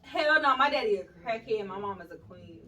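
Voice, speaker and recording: A woman's voice: medium pitch, expressive, fairly quick, far from the mic, a crowd in the background. A woman from Michigan, a guest on a podcast, talking bluntly, with profane jokes. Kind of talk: casual podcast chat. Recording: roomy sound from off-mic.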